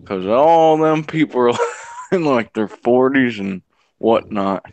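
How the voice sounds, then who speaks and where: A man's voice: medium pitch, animated, takes it slowly, a little mellow, no room sound, highs cut off. A nineteen-year-old man on a live stream panel over a video call, chatting casually and playfully contradicting the others. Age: teens. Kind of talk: casual chat.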